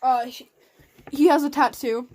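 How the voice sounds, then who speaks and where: A woman's voice: sits high, fairly even intonation, measured pace, a little mellow. A young woman giving a casual, giggly, mock-dramatic amateur reading of fan fiction, breaking off for asides.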